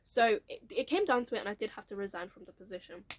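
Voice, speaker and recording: A woman's voice: medium pitch, lively intonation, fast talker. A young adult woman with a nasal, blocked-nose voice, telling her career story to camera in a chatty, frank way and laughing often. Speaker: vlogger.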